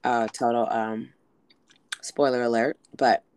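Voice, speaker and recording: A woman co-hosting a casual podcast, hoarse from a recent illness, chatting with lots of "um"s and "you know"s. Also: a bass voice, fairly even intonation, slow, a little mellow, slight background noise. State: hoarse from being sick. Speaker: podcast co-host.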